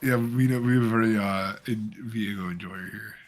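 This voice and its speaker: A man casting a live esports match, joking around and getting excited about the plays. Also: fairly low pitch, normal speed. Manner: joking, excitable, laughs often. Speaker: esports caster. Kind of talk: live game commentary.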